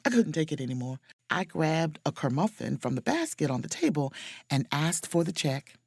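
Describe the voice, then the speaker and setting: A woman playing a wry, self-possessed spaceship officer, narrating her own adventure in an expressive, sardonic audio drama style. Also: sits low, moderate pace, gravelly, husky, no room sound, full character voice. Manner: dry, sardonic, expressive storytelling.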